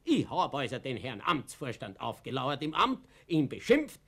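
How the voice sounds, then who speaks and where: An actor playing an elderly, cunning but naive father in a radio play, telling his story excitably. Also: mid-range voice, moderate pace, vocal fry, slightly rough, heavily acted. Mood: gleeful, triumphant. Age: older. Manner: excitable, storytelling, swinging from chuckling to hushed. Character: elderly father, gleefully cunning, naive, long-suffering underdog. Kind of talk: German-language radio play acting.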